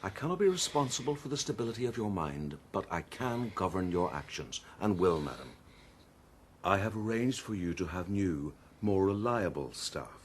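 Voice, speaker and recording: A man playing a cold, controlling gentleman who rules wife and servants with formal commands in a period radio drama. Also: sits low, very animated, normal speed, slight background noise. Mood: cold, stern. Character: cold, controlling gentleman husband, master of an estate.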